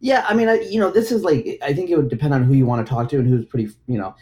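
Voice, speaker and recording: A man's voice: mid-range voice, racing, darkish tone. A man in casual discussion as host, talking in a rambling way with frequent fillers and restarts. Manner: rambling, many likes and restarts. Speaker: podcast host.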